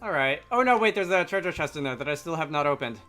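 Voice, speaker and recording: A man's voice: fairly high pitch, expressive, quick pace, bright, forceful tone. A man doing casual let's play commentary with wry, rambling asides. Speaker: video game let's player. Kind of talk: casual gameplay commentary mixed with reading dialogue aloud. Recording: game music behind. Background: video game music.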